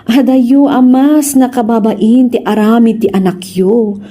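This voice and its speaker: A woman's voice: a little high, fairly even intonation, a little slow, mellow. A woman with an Ilocano Filipino accent acting an aggrieved younger woman who talks back reproachfully in a radio comedy drama.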